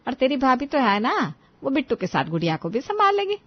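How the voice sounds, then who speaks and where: Bossy middle-aged grandmother played by a woman, doting yet quick to scold, highish voice, dull lo-fi story audio. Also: huge pitch swings, full character voice. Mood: reassuring. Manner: scolding, exclamatory, commanding. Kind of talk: Hindi family moral story dramatization.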